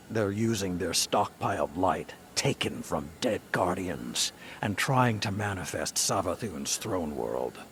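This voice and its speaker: An actor playing a grave, stern veteran commander in a video game, with a gravelly voice and a solemn, commanding, deliberate delivery. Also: male voice, deep voice, slight background noise. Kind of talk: dramatic video game dialogue. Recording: dramatic music behind the voice.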